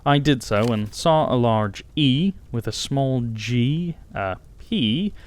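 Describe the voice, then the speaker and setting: A man's voice: mid-range voice, expressive, slow pace. A man narrating a scripted first-person story as an audiobook narrator.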